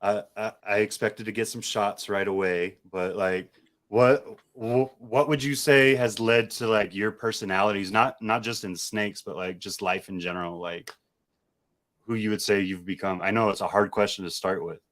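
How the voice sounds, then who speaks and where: A low-pitched man hosts a relaxed podcast. He rambles comfortably, circling his points with fillers and restarts. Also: moderate pace. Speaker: podcast host. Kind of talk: casual podcast chat.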